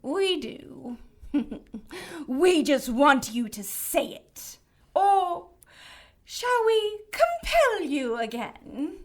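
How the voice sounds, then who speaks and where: Imperious, high-pitched cherub. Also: female voice, very animated, extremely slow, full character voice. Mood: smug interrogation. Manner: imperious, mocking interrogator. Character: haughty, domineering female cherub leading an angelic inquisition. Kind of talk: audio drama acting.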